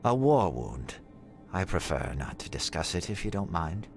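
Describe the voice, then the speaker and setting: An actor playing a courteous, benevolent heir of an influential family in a video game, speaking formally and with measured politeness. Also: male voice, sits low, very animated, faint music behind. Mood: composed, guarded. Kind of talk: video game cutscene dialogue.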